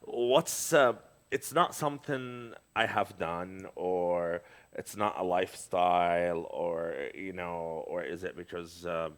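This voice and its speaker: A man's voice: low-pitched, fairly even intonation, takes it slowly. A middle-aged man from Lebanon, talking in a casual conversation as a guest, hesitant, with many fillers and restarts.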